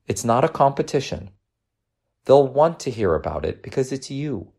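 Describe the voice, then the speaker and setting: Storybook mom acted by a male narrator: affectionate, teasing, encouraging, pitched up with very animated swings and some gravel. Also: moderate pace. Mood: reassuring. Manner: coaxing, affectionate, reassuring. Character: gentle, teasing, encouraging working mother.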